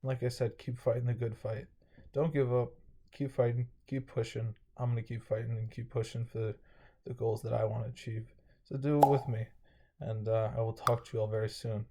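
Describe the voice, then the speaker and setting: Flat, mellow-voiced man recapping his day. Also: mid-range voice, normal speed. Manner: rambling, many fillers and restarts. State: slightly dry throat. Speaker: vlogger. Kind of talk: casual day-recap vlog.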